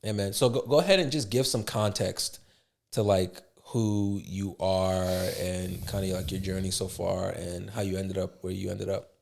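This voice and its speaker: A man's voice: deep voice, fairly even intonation, moderate pace, vocal fry, a little mellow. A man hosting a podcast, laid-back and slangy, asking questions in an easy, casual way. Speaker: podcast host. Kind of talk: casual interview chat.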